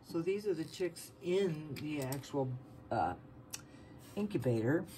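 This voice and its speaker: Woman, slow pace, soft dark tone, casual vlog narration, plain-spoken, slightly noisy home recording. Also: sits low, expressive. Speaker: farm vlogger.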